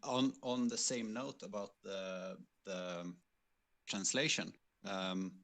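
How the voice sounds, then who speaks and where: A man's voice: on the low side, slow pace, a bit bright. A man from a game team, talking casually on a livestream.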